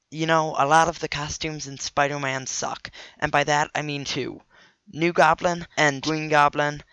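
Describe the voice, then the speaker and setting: A man with a cold and a nasal voice, hosting a scripted comic commentary video with deadpan, sarcastic asides. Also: on the high side, dry recording, dull audio. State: sick with a cold. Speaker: video host.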